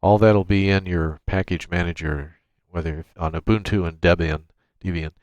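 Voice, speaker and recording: A man presenting an informal software tutorial, explaining things step by step into a USB microphone. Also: low voice, fairly even intonation, moderate pace, dry recording, highs cut off. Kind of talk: informal software screencast walkthrough. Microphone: USB microphone through a noise gate.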